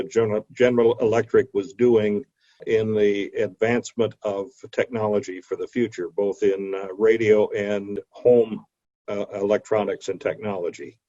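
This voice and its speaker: A man giving an illustrated history lecture over a video call, explaining in a measured way with frequent hesitant pauses. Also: lowish voice, dark tone. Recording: dull, lo-fi video call audio.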